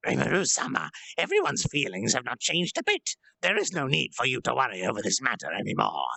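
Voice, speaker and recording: A man's voice: medium pitch, huge pitch swings, brisk, vocal fry, pushed and bright, no room sound, full character voice. A man voicing a loyal, serious monster follower, formal and devoted, in a fantasy story reading. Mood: reassuring.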